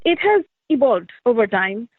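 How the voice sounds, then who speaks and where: A woman's voice: on the high side, sing-song, normal speed, no room sound. A woman from India, an artist and teacher, telling stories from her life as an interview guest, with many "like" fillers. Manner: reflective, storytelling, frequent "like" fillers. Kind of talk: conversational interview answers. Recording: tinny phone-like line, dry.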